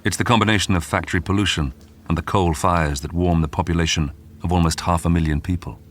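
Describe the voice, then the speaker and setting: A man reading scripted true-crime narration in a measured, dramatic way with suspenseful pauses. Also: sits low, steady tone, slight background noise. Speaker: documentary narrator. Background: background music.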